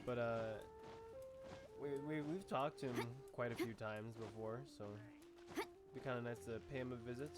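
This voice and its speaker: A woman's voice: extremely low pitch, moderate pace. A woman doing casual gameplay commentary in a slangy, laid-back way. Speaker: gamer commenting on playthrough. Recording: loud game music behind.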